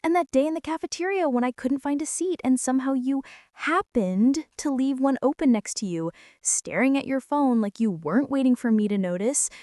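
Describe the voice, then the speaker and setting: A bossy college girl with a secret crush, acted out by a woman, speaks in a slightly high, mellow voice, very close and dry. She is dramatic and flustered, teasing her listener as in an intimate girlfriend ASMR roleplay. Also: lively intonation, normal speed, dry recording. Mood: stunned, accusing. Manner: dramatic, teasing, breathy whispers mixed with indignant exclamations.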